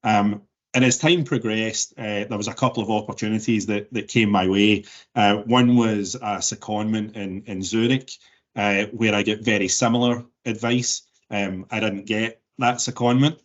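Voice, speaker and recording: A man in his forties with a softened Glaswegian Scottish accent, answering interview questions thoughtfully with many restarts and fillers. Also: on the low side, steady tone, normal speed, lo-fi sound. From Scotland. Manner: thoughtful, frequent word repeats and fillers. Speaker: interview guest.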